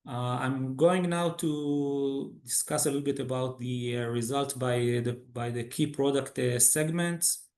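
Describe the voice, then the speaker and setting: A man with a mid-pitched voice delivers prepared financial results in a roomy, echoing space. He is a non-native English speaker with a formal executive manner. His reading is broken up by halting pauses. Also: darkish tone. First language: not English. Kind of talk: scripted earnings call presentation.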